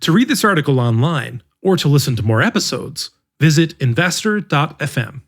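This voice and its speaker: Male podcast narrator, slightly high voice, husky tone, reads an essay aloud with measured phrasing. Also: sing-song. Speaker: podcast narrator reading an article. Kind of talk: scripted narration.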